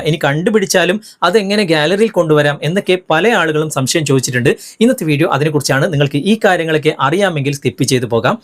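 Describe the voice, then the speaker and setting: Male tutorial host, Malayali accent, slightly high voice, very quick delivery, instructional and step-by-step. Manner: instructional, step-by-step, addressing viewers directly. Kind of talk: explanatory phone tutorial narration.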